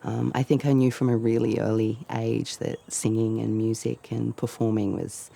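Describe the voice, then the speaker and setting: A middle-aged woman, a band leader, reflecting in a documentary interview in a relaxed, storytelling way. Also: rumbling low, moderate pace, gravelly. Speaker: band leader, singer and guitarist.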